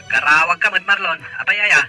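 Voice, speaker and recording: A man's voice: high voice, fairly quick, bright, forceful tone, faint music behind, poor audio. Man playing a curt, piercing Ilocano older brother. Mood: irritated, dismissive. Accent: Filipino, Ilocano. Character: irresponsible older brother, curt, irritable, dismissive. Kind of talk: audio drama acting.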